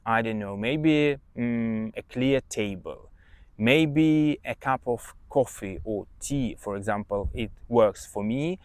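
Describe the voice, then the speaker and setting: A man's voice: mid-range voice, dry recording. Russian accent guy, english teacher on his podcast, chatty and emphatic, dry close sound. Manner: chatty, emphatic, checks in with "yeah?". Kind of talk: casual advice monologue.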